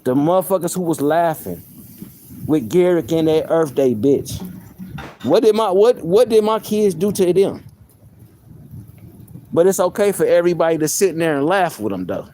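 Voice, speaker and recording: A man with a soft voice, venting on a live stream in a heated, profane way, often repeating himself. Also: a little high, lively intonation, normal speed, pauses often, slight background noise. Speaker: livestreamer.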